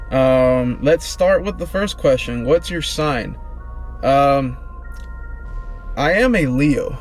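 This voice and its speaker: A man in his twenties, vlogging in a relaxed, rambling, slangy way, answering questions about himself. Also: medium pitch. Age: 20s. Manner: laid-back, rambling, slangy. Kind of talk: casual get-to-know-me chat.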